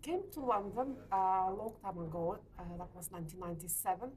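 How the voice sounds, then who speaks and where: A woman in her forties from Lithuania, speaking English with a Lithuanian accent, answering interview questions hesitantly with many pauses. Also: mid-range voice, animated, a little mellow. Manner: hesitant, frequent uh pauses, light laughs. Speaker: letting agency owner. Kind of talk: business interview. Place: hotel meeting room.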